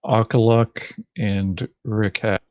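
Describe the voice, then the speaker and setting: A man's voice: lowish voice, fairly even intonation, slow pace, no room sound, highs cut off. A man chatting casually as a board game player, giving short, hesitant answers. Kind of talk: casual chat while planning a board game session.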